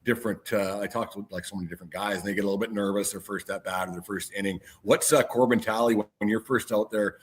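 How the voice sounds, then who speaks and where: A man with a fairly low voice hosts a casual interview at a very brisk pace. His questions ramble in a jokey, conversational style. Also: fairly even intonation. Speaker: podcast host interviewing an athlete.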